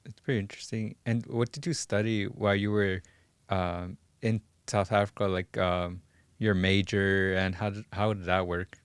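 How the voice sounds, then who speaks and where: A man's voice: fairly low pitch, fairly even intonation, normal speed, clear, resonant tone, no echo. A man hosting an interview podcast, presenting his guest and posing questions. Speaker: podcast host. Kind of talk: scripted introduction and interview questions.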